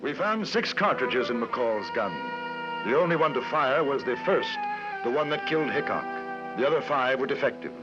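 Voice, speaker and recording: An urbane English gentleman reporter played by a male actor with a dry, measured delivery. His voice sits at a middle pitch. It sounds like 1950s radio drama, muffled and band-limited. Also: lively intonation, over music, natural voice. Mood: grave, measured. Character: urbane English newspaper correspondent roaming the Wild West.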